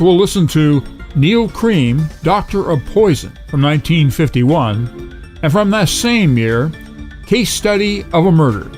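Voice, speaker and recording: A man's voice: medium pitch, a little mellow. Man casually hosting an old-time radio podcast. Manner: unscripted, slightly halting. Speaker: podcast host.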